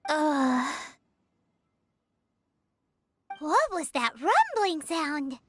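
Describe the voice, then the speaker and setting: A woman's voice: sits high, sing-song, slow pace, slight background noise, heavily acted. A woman voicing a tiny, childlike, perky and greedy fairy companion in an anime game, exclaiming and speaking of herself in the third person. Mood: puzzled. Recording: game music behind the voice.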